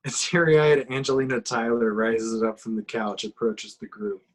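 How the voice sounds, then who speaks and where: A man's voice: mid-range voice, normal speed, poor audio. A man reading out screenplay action as narrator in an amateur horror table reading.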